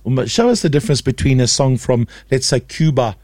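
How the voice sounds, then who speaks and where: A man's voice: medium pitch, expressive, a little fast, a little mellow, no room sound. A South African man hosting a casual live radio show, chatty, teasing and curious with his guests.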